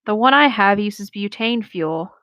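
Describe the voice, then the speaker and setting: A woman with a mid-pitched voice reads a story aloud. She mixes narration with short, casual banter. The recording is very dry and close, with no room sound. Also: lively intonation, measured pace, fairly bright tone, no room sound. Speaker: co-host reading stories aloud.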